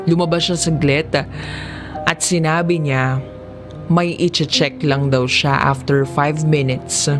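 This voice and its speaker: Slow-paced Filipino drama storyteller over music. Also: medium pitch. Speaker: narrator. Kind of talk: scripted first-person drama audiobook narration. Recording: music behind the voice. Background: background music.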